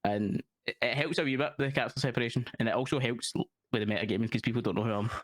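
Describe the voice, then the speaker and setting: A man's voice: mid-range voice, very fast, dry recording. A young man with a Glaswegian accent, chatting casually as a guest, swearing and laughing, calling everyone mate. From Scotland. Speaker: guest, roleplay streamer. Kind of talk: casual chat.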